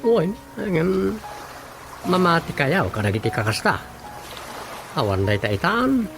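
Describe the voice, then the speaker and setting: A teasing, skeptical village official in an Ilocano radio comedy. His voice sits a bit high for a man, and music plays clearly underneath. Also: big pitch swings, measured pace. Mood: teasing disbelief. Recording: music playing behind the voice. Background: prominent background music.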